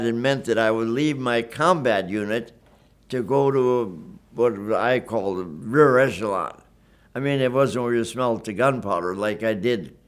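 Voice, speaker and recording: A man in his nineties, a war veteran, telling his story in a reflective interview, earnest and emphatic, often repeating and restarting his words. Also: mid-range voice. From Wisconsin, USA. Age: 90s. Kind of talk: reflective oral-history storytelling.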